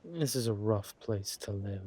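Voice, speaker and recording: A man playing a suave, ruthless villain king in an audio roleplay, murmuring affectionately to his wife with halting, teasing hums. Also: lowish voice, animated, mellow, a little hiss or hum. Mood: coaxing, grave. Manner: slow, halting, purring hums and teasing drawl. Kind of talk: villain roleplay audio drama, intimate monologue to a partner.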